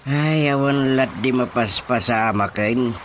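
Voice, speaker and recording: A man playing an excitable older father in a radio drama comedy, exclaiming and muttering, easily astonished and indignant. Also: medium pitch, slow, a little hiss or hum. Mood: grumbling. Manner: exclamatory, full of muttered interjections.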